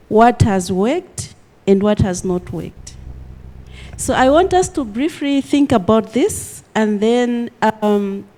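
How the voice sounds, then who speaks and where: A woman's voice: a little high, darkish tone. A woman acting as session facilitator, putting questions to a group for discussion.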